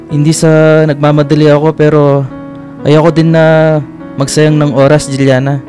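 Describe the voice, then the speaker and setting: A man's voice: fairly high pitch, level tone, normal speed, warm tone, faint music behind, lightly acted. A young man playing a devoted boyfriend and playful son in a radio drama, sincere and lightly teasing. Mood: earnest, romantic. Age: young adult. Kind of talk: Filipino radio drama acting.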